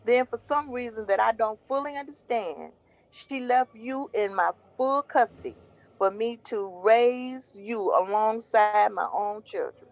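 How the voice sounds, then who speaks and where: A devout mother in an audio drama, consoling her son with gentle, earnest faith. Her voice sits a bit high and comes through slightly dull and lo-fi. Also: measured pace. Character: Mama Ronnie, warm devout foster mother. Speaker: Drew's mother.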